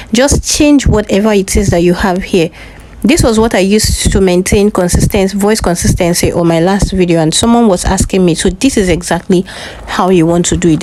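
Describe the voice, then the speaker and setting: A woman's voice: mid-range voice, a little mellow, a little hiss or hum, rough recording. A woman presenting a casual step-by-step tutorial, instructing and often checking that the listener follows.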